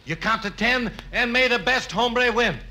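An actor voicing a gloating military commander in a 1950s radio comedy. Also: male voice, very high-pitched, moderate pace, sharp tone, full character voice. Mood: sly bravado. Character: gloating male Mexican bandit officer, firing-squad commander. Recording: noisy old radio recording.

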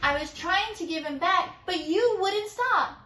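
A woman's voice: very high voice, lively intonation, measured pace, bright, forceful tone, reverberant, far from the mic, a little hiss or hum, voice pushed up, full character voice. A woman voicing a big, hairy, kind-hearted gorilla in a storybook, with an emphatic delivery. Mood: kind, reassuring. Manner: emphatic, calling out.

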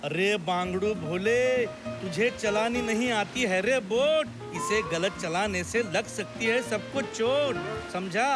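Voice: mid-range voice, slow pace, hardly any pauses, music in the background, natural voice. An actor playing a small, scolding but kindly male guru in a children's cartoon, exclamatory, teasing and bossy. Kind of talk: animated children's cartoon acting.

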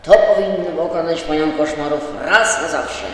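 A man's voice: fairly high pitch, moderate pace, heavy reverb, long reverb tail, a little hiss or hum, close to an everyday voice, rough recording. Man acting a fussy, theatrical lord of dreams. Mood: solemn, instructive. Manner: theatrical, dithering, repeats himself. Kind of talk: amateur audio drama acting.

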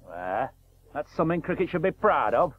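Blunt old cricket pro, tinny radio. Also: male voice, a little high, big pitch swings, normal speed. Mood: resigned, reproachful. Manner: plain-spoken, sardonic, colloquial. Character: blunt, bitter working-class old cricket professional. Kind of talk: radio play acting. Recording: tinny narrow-band 1980s radio broadcast.

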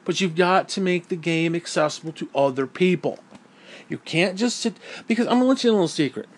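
A hoarse man losing his voice, blunt and swearing a lot as he rants about a game. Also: high-pitched, brisk. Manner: profane, blunt and heated. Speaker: gaming vlogger. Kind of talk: angry opinion rant.

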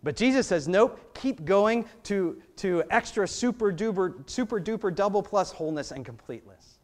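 American guy preaching from a church pulpit, slightly high voice, chatty, keeps asking the room questions. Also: normal speed. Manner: conversational preaching with rhetorical questions and animated hand gestures. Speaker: pastor. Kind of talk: sermon. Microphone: gooseneck pulpit microphone.

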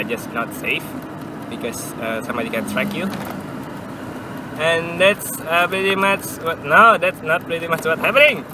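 A young man with an Indonesian accent chatting casually for a vlog while driving, haltingly repeating and correcting his words. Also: a little high. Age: young adult. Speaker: vlogger. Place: inside a car with windows open, driving. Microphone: camera propped on the dashboard. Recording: clear car and traffic noise.

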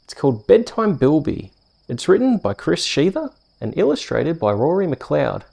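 An Australian man hosting and reading bedtime stories in a soft, soothing, unhurried ASMR storytelling manner. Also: on the high side, huge pitch swings, gravelly. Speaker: host reading bedtime stories on a video channel.

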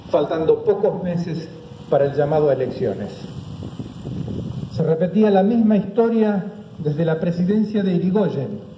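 A man's voice: on the high side, a little hiss or hum. Solemn man reading outdoors. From Argentina. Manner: solemn, measured, reading in short phrases. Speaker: speaker at a memorial ceremony. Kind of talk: formal commemorative speech read from paper. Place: outdoors on a town green by a street. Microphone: microphone on a stand. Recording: dull outdoor recording with echo and faint ambience.

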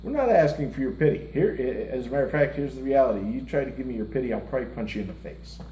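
A man's voice: mid-range voice, lively intonation, fast talker, mellow. A middle-aged man co-hosting a music reaction show, talking casually with blunt, self-mocking humor while seated at a table. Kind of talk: casual chat. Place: indoor room, seated at a table before a lit curtain. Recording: roomy room with faint hiss.

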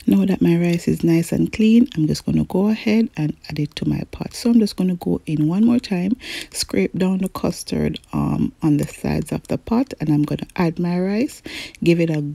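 A young woman narrating her home cooking, talking viewers through each step in an easygoing, chatty way. Her voice is low-toned and gravelly, with a husky edge, recorded close and dry. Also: normal speed, mellow, dry recording. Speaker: cooking channel host. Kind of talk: cook-along voice-over narration. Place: home kitchen.

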